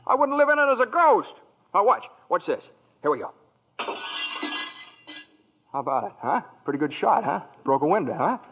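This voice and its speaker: A man's voice: high-pitched, sing-song, moderate pace, long pauses, in a large hall, phone-quality sound. An actor playing a restless young man dreaming big, earnest and often stammering, in 1940s radio acting, on a scratchy 1940s radio broadcast. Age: young adult.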